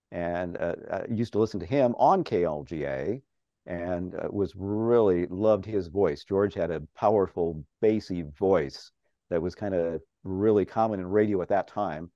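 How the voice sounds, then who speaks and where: A man's voice: low voice, no echo. An older man, a longtime radio broadcaster, chatting animatedly as an interview guest over a video call, laughing often. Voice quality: strong voice. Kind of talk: casual storytelling. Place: home office. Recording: dull video-call audio.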